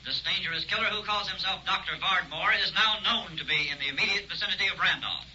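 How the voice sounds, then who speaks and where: An actor playing a brisk male radio news announcer who reads a bulletin in an urgent, clipped way. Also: sits high, sharp tone. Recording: dull old radio recording with faint hiss.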